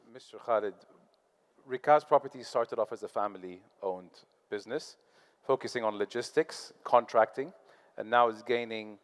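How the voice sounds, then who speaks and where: A man's voice: medium pitch, long reverb tail. A man moderating a conference panel, reading polished prepared introductions and questions. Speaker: panel moderator, podcast host. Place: conference hall on stage.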